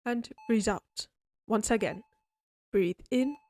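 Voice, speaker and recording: A woman voicing a refined, friendly vampire doctor in a scripted roleplay, explaining things to the listener in a formal, measured, courteous bedside manner. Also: a little high, darkish tone. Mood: calm, soothing. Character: refined, oddly friendly vampire doctor, centuries-old aristocratic healer. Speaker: doctor character in a roleplay audio. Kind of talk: scripted comfort roleplay, spoken directly to listener.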